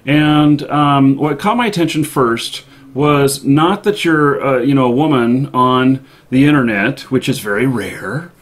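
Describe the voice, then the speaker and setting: A man hosting a casual live interview over an online call, with halting, hesitant phrasing. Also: mid-range voice. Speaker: live stream host. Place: indoor office.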